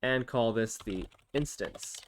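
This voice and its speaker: A man's voice: mid-range voice, slow, a little hiss or hum. A man hosting a casual screencast programming tutorial, thinking aloud as he explains each step informally.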